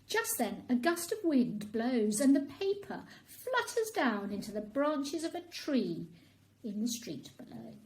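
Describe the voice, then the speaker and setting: A woman narrating a children's bedtime story in an expressive storytelling style. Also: high-pitched, unhurried, low-quality recording.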